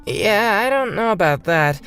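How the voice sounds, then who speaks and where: A woman's voice: medium pitch, lively intonation, a little slow, fairly bright tone, soft background music. A woman voicing an earnest, bewildered fifth-grade boy who narrates his own story in a manga dub. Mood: resigned. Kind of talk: scripted first-person narration in a manga dub.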